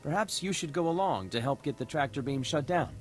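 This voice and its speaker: A man's voice: fairly high pitch, animated, fairly quick, soft background music, lightly acted. An actor playing an earnest, dutiful male starship officer in a space-opera video game, formal in manner. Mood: earnest, concerned.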